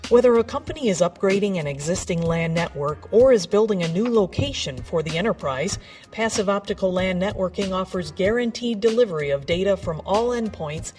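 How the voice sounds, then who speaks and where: A woman's voice: medium pitch, expressive, brisk, darkish tone, far from the mic. A woman reading a scripted corporate promotional narration as a voice-over. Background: background music.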